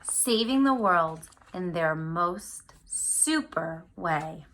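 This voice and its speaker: A woman reading a picture book aloud to children, speaking to them directly with animated, drawn-out emphasis on key words. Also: on the low side, slight background noise. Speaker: read-aloud storyteller for children.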